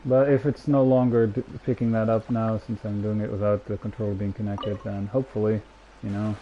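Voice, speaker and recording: A man's voice: lowish voice, little pitch movement, brisk, mellow. A man chatting in a casual, rambling way as a game streamer, speaking into a headset mic. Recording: dull headset mic, faint noise.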